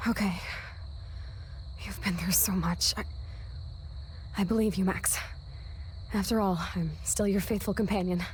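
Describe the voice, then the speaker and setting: An actress playing a brash, loyal young best friend in a video game, with an American accent and a slangy, heartfelt delivery. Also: female voice, mid-range voice, steady tone, a little slow, long pauses, darkish tone, slight background noise, close to an everyday voice. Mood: grateful, supportive.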